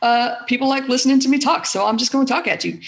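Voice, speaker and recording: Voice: high voice, fast, creaky. A podcast host with a soothing voice chatting candidly and animatedly, swearing casually now and then. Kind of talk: casual conversation. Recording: echoey room.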